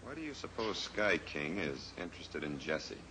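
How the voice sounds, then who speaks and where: A sly cousin villain in a vintage radio play, scheming, with a very deep voice. Clear hiss fills the old recording behind him. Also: male voice, very animated, normal speed. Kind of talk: 1950s radio drama acting.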